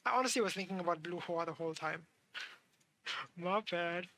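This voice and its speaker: Bright, creaky streamer thinking aloud. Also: medium pitch, slight background noise. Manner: thinks aloud in halting fragments. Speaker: game streamer. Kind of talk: casual live gameplay commentary. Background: game music.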